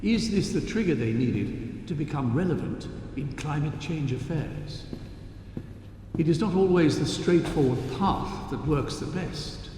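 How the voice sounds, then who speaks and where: A man playing a formal, measured news announcer giving cryptic, eerie advice in a horror game. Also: a little high, lively intonation, mellow. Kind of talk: scripted broadcast announcement. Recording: echoey hall, long reverb, faint noise.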